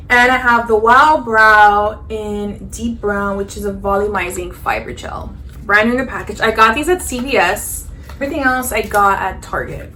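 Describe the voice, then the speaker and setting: A woman in her thirties chatting casually to the camera while doing her makeup, animated and full of fillers and jokey asides. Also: medium pitch, a little hiss or hum. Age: 30s. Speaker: beauty vlogger.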